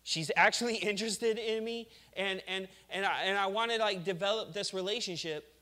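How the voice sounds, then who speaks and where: A man's voice: high voice, moderate pace, bright, forceful tone. A male pastor giving a conversational sermon, acting out the people he talks about. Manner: conversational preaching, acts out complainers.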